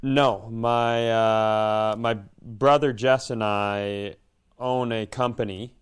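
A man's voice: lowish voice, steady tone, takes it slowly, clean tone. An American man talking casually and jokingly as a co-host on a comedy podcast.

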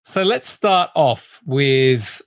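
Chatty host with a hybrid Australian accent. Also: male voice, medium pitch, expressive, very slow, dry recording, studio quality. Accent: hybrid Australian, English and American. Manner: enthusiastic, chatty, laughs easily. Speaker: podcast host. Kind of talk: business interview.

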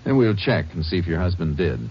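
Very deep-voiced calm Texas Ranger. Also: male voice, breathy edge. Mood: probing, steady. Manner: measured, polite questioning. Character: calm, methodical, courteous Texas Ranger investigator. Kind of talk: old-time radio drama acting. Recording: muffled, noisy vintage radio recording.

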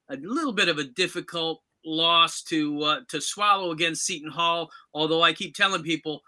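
A man hosts a conversational sports interview. His voice sits a little high for a male speaker, with a very bright, sharp edge that carries each question. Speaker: podcast host, interviewer.

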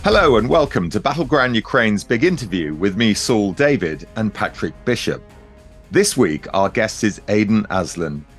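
Low-voiced male podcast host. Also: a bit bright. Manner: measured, formal. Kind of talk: scripted show introduction.

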